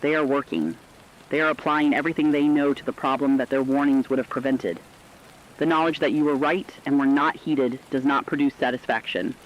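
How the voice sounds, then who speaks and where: A man playing an earnest radio correspondent, giving sombre, deliberate scripted commentary on a disaster. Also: fairly high pitch, slight background noise, lightly acted. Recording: dull lo-fi radio-style sound.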